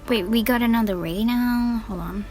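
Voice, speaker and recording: A woman's voice: on the high side, moderate pace, a little mellow, faint music behind. A woman giving casual commentary on a game as she plays, informal and slangy, thinking aloud. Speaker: streamer reacting to game story.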